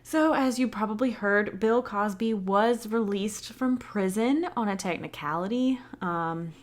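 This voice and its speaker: A woman's voice: medium pitch, unhurried. A woman co-hosting a casual podcast from home, giggly and blunt, with frequent fillers. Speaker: podcast co-host. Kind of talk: casual chat. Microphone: home recording setup.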